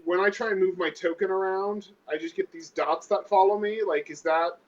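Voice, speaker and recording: A man's voice: sits high, fairly even intonation, normal speed. A man playing a tabletop game online, chatting casually, heard through muffled call audio.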